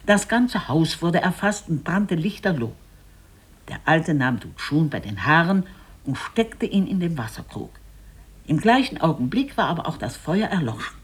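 Husky, highly expressive storyteller. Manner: deliberate, expressive. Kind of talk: dramatic literary tale narration.

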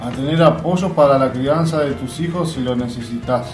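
A man's voice: mid-range voice, moderate pace, darkish tone, soft background music. A man reading scripted narration aloud as a narrator.

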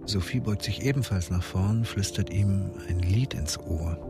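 Deep male narrator, deliberate and intense. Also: over loud music. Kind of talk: scripted radio drama narration.

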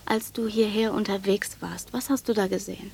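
An actress playing a brisk, official woman doctor in a radio drama, questioning and dismissive with authority. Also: mid-range voice, steady tone, gravelly, a little mellow, a little hiss or hum, lightly acted. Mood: probing. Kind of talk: German radio drama acting.

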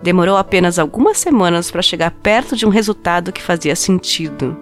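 A woman playing an obsessive, coldly rational scientist confessing to murder in a dramatized audio story, with intense, deliberate delivery. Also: on the low side, lively intonation, faint music behind, natural voice. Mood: obsessive, brooding. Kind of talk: dramatized first-person audio story narration.

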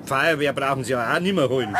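A man's voice: medium pitch, fast, noisy background, lightly acted. An actor playing a good-natured, grumbling older master craftsman in a children's radio play, with a Bavarian accent and a homely, mildly chiding manner. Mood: dry, assured. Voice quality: husky.

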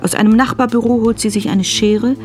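A woman's voice: a little high, steady tone, brisk. A woman narrating a German radio drama in a sober, precise scripted style. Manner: sober, precise present-tense telling. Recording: music behind the voice.

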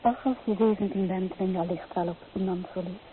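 An actress playing an earnest, dreamy young bride-to-be in an old Dutch radio play, expressive and gently reproachful. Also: female voice, medium pitch, a little fast, close to an everyday voice. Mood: defensive, hurt. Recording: muffled, noisy old radio recording.

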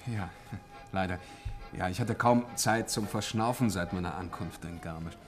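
A man's voice: low voice, moderate pace, over music, close to an everyday voice. An actor playing an affable, deferential businessman in a 1950s German radio play, chatty and a little hesitant. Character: affable, eager, polite businessman making small talk.

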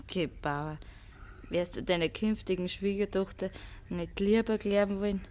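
An actress playing a cunning, self-assured young Bavarian farm maid in dialect in a 1950s radio play. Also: female voice, medium pitch, moderate pace, darkish tone, natural voice. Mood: coolly teasing.

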